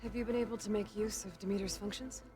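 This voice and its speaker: A woman's voice: medium pitch, steady tone, fast, warm tone, lightly acted. A young woman voicing a determined young female hunter in a video game, talking in a direct, inquisitive way. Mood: steady. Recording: game audio with music.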